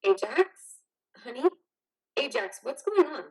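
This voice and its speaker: A woman's voice: high voice, very animated, measured pace, a little mellow, breathy edge, full character voice, low-quality recording. A woman in a reader's theater drama playing a caring, worried wife, soothing and pleading. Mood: alarmed, pleading.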